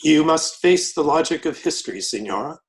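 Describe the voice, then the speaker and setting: A man's voice: on the high side, lively intonation, moderate pace. A man playing a dapper, formal American company envoy in an old-time radio comedy, courteous and ambassadorial. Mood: grandiose.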